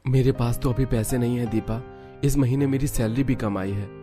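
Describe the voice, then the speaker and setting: A mild young husband from a cartoon family tale, acted by a man. He speaks at a medium pitch in a placating, apologetic way, with clear plucked guitar music behind him. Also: fairly even intonation, moderate pace, warm tone, natural voice. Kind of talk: Hindi cartoon family story acting.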